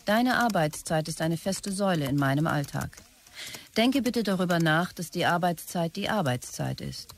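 A woman's voice: fairly low pitch, lively intonation, normal speed, a little hiss or hum. A woman playing a demanding company boss in a radio drama, giving clipped, commanding orders. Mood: insistent, demanding. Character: bossy, demanding company boss issuing curt orders to staff.

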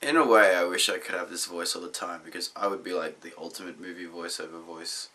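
A young man vlogs casually in a low voice made husky by being sick. His tone has a bright edge, and he rambles in a dry, self-deprecating way. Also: fairly even intonation, fairly quick, a little hiss or hum. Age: young adult. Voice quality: husky, deep from illness. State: sick, voice gone deep. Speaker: video blogger. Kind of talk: rambling casual vlog to camera. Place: small room indoors.

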